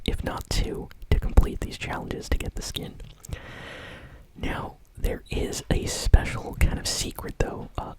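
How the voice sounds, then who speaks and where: Whispering woman, ASMR gaming news host. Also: low-quality recording. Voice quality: soft breathy whisper. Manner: casual, chatty, unhurried explaining.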